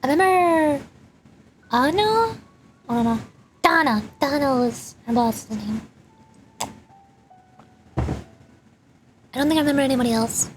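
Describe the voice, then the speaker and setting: A woman's voice: high-pitched, animated, takes it slowly, pauses often. A woman streamer chatting casually and rambling during a game livestream, with noise behind her. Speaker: streamer, virtual YouTuber.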